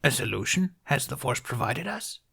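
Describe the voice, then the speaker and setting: A man's voice: mid-range voice, big pitch swings, moderate pace, creaky, bright, forceful tone, husky, voice pushed up, exaggerated voice. A man voicing an ancient, wise alien master who speaks in cryptic, inverted sentences. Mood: thoughtful. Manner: inverted word order, cryptic phrasing.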